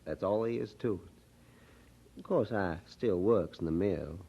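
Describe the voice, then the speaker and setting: An amiable backwoods man with a rural Southern US drawl. His voice is on the low side and his talk is folksy and plain. The audio drama sounds slightly dull. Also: unhurried, vocal fry, breathy edge, exaggerated voice. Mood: proud. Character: plain-spoken rural husband, reformed drinker, amiable.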